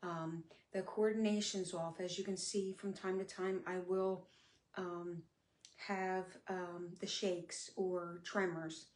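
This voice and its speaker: A middle-aged woman talking candidly on a live stream, her speech sometimes a little slurred, with many pauses and fillers. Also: fairly low pitch, flat delivery. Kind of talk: casual live-stream talk. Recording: echoey room.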